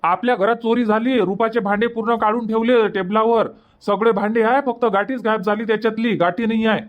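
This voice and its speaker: Man voicing a high-pitched exasperated cartoon husband. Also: fairly even intonation, fairly quick, phone-quality sound, natural voice. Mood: insistent. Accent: Varhadi Marathi from Vidarbha. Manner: insistent, repetitive, reproachful. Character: exasperated husband and son in a village household. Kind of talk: cartoon comedy dubbing.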